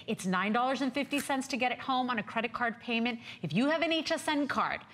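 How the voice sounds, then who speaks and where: A woman's voice: on the high side, lively intonation, fairly bright tone. A woman hosting a TV shopping show, upbeat and enthusiastic as she pushes the deal in a studio. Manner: upbeat, enthusiastic, quick affirmations. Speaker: shopping channel host. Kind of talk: home shopping sales pitch.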